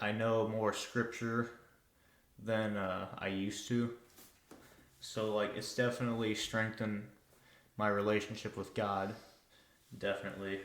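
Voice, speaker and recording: A man's voice: lowish voice, fairly bright tone. A twenty-year-old man co-hosting a casual podcast, speaking in a hesitant way with lots of filler words. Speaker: podcast co-host. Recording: echoey room.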